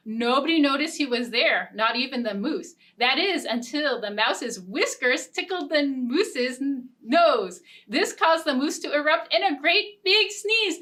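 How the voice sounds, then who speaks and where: A woman hosting a children's storytime, reading and talking to small children in a playful, expressive way. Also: high voice. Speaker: children's librarian, storytime host. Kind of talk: children's storytime with read-aloud story and songs.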